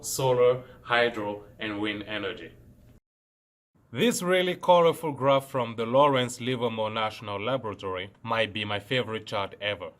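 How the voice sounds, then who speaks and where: Earnest young Cameroonian man presenting. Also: mid-range voice. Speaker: student presenter. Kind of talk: scripted science presentation.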